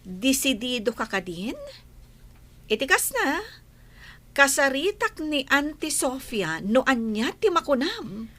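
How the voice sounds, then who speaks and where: A woman's voice: sits high, sing-song, slow, long pauses, sharp tone, exaggerated voice. A woman playing a chatty, supportive young friend in a radio-style drama, with an Ilocano accent. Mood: supportive, curious.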